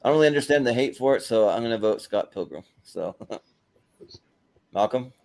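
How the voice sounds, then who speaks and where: A man's voice: mid-range voice, lively intonation, normal speed, vocal fry, darkish tone. A man hosting a casual panel chat, speaking informally to the other participants. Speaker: host of panel show.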